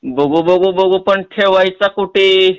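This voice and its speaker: A man with a noticeably high voice and a rural Marathi accent chats on a prank phone call. He coaxes and teases persistently, and the sound is dry and close. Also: slow, smooth, no room sound. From Tuljapur, Maharashtra, India. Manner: playful, coaxing, pestering. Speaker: prank caller.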